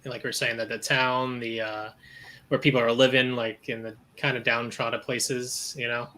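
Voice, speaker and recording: Enthusiastic man, review podcast co-host, bright forward tone, gushing delivery. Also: medium pitch, level tone, normal speed. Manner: enthusiastic, gushing about scenes. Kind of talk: casual film review chat.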